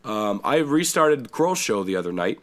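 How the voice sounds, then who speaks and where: A man's voice: medium pitch, moderate pace. A young Canadian man co-hosting a podcast, talking casually, swearing a lot and breaking into silly voices. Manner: animated, swears often, slips into silly accents. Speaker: podcast co-host. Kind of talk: casual chat.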